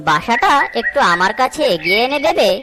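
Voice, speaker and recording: A woman's voice: on the high side, sing-song, a little fast. A woman voicing a sly, greedy, scheming fox in a children's cartoon, with a crafty, self-satisfied way of talking. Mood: falsely friendly. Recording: music behind the voice. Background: music.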